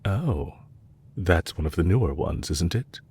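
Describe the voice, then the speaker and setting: Villain leader for intimate audio roleplay, male actor: low, husky register, courteous and measured, calculating under a gently teasing manner. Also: expressive, exaggerated voice. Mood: gently curious. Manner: polite, measured, softly teasing. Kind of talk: intimate scripted audio roleplay addressed to the listener.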